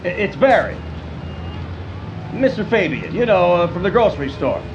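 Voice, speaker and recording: An actor playing a friendly, bumbling neighborhood grocer in a radio drama, earnest and concerned, chatty but hesitant and stammering. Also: male voice, high voice, sing-song, normal speed, lo-fi sound, music in the background.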